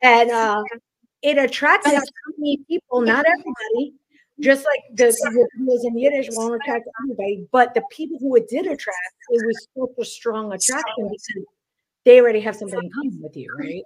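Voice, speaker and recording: A woman hosting a podcast interview, talking quickly in a chatty way with many restarts. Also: on the high side, slightly rough, low-quality recording. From New York. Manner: fast, chatty, frequent restarts and fillers. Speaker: podcast host. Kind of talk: casual interview chat.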